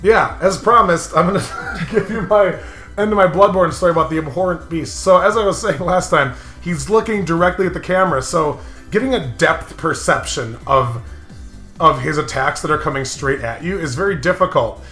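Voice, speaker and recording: A man's voice: fairly high pitch, normal speed, sharp tone. A man co-hosting a let's play, chatting casually and jokingly and prodding his co-host, often breaking into laughter. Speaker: co-host of a gaming show. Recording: roomy echo, faint music behind. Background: faint music.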